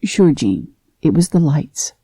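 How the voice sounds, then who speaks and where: A woman in a radio drama playing a hesitant, flustered police station receptionist with a husky, creaky voice and a timid, halting manner. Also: sits low, big pitch swings, dark tone, dry recording, exaggerated voice. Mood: flustered, uneasy.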